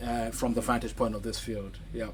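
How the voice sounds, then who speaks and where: A man's voice: medium pitch, flat delivery. Zimbabwean guy in his 30s, author interview, lots of um and restarts, bit of hiss behind. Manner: halting, many restarts and fillers, thoughtful. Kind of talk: conversational interview.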